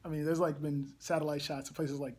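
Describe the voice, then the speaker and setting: Husky-voiced man musing with frequent pauses. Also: fairly high pitch, flat delivery, a little mellow. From Barbados. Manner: thoughtful, pauses with frequent ums. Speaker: campus ministry engagement coordinator. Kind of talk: casual reflective interview.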